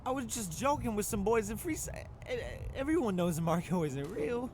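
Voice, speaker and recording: A man's voice: high-pitched, animated, normal speed, noisy background. A man voicing a foul-mouthed, blustering, bullying pimp in a video game, aggressive and volatile. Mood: panicked. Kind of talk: scripted video game dialogue.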